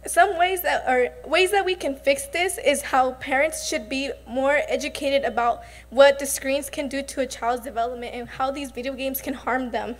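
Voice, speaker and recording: A young woman delivers a prepared talk as a student presenter. Her voice sits high, and her delivery is earnest, with a few halting pauses. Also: fairly even intonation. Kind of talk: prepared speech.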